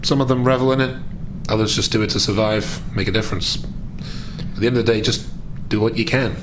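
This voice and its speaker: A man's voice: on the low side, steady tone, fast talker, background noise. A man playing in a tabletop roleplaying game, improvising casually in a matter-of-fact, laid-back way. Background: music.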